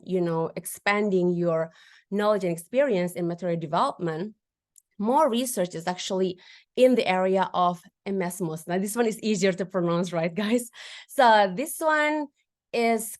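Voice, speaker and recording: A woman lecturer with an Indonesian accent, whose first language is a regional Indonesian one, giving a webinar presentation over a video call, with fillers, restarts and questions to the audience. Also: medium pitch. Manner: lively, frequent fillers and restarts, addresses audience with tag questions.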